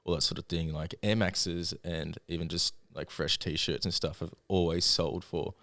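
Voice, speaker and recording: A young man joining a casual chat, relaxed and agreeable. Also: low voice, moderate pace, no echo.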